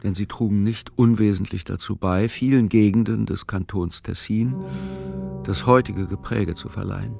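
An actor playing a pensive, solitary man musing over facts in a literary radio play, with a gravelly voice and measured, deliberate delivery. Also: on the low side, dark tone, faint music behind.

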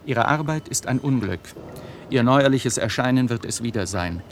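An actor playing a grave man of moral conviction in a German radio play, speaking formally and deliberately. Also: medium pitch, expressive, slight background noise, lightly acted. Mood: accusing.

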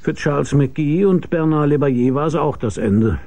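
An actor playing a cynical, world-weary investigator who narrates a radio drama in a dry, laconic, husky voice. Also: male voice, a little high, normal speed, music in the background, close to an everyday voice. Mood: dry narration. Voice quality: gravelly, husky. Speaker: narrator and lead character. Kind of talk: hard-boiled radio drama narration and dialogue.

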